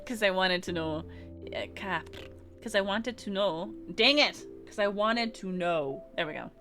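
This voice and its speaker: A woman's voice: medium pitch, a little slow, music in the background. A woman with an American West Coast accent, chatting casually with her viewers on a game stream, giggling and rambling with many restarts. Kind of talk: casual chat reading live chat during a game stream.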